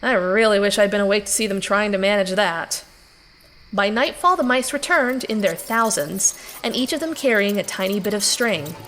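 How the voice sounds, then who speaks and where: A woman's voice: mid-range voice, normal speed, fairly bright tone, slight background noise, close to an everyday voice, poor audio. An actress playing a young woman recounting adventures in an audio drama, with chatty, wry asides to the listener. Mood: wry, confiding. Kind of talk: conversational first-person audio drama narration.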